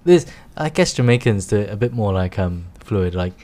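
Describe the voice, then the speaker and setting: Man, podcast co-host, easy animated delivery, quick to laugh and tease. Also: lowish voice. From United Kingdom. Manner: animated, laughs often, teasing, many fillers. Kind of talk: casual chat.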